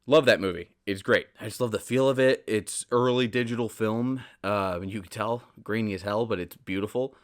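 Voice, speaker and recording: A man's voice: on the low side, fairly quick, few pauses. A man in his twenties hosting a podcast, chatting casually and emphatically in a rambling solo monologue, repeating himself, with a slightly stuffy nose. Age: 20s. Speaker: podcast host.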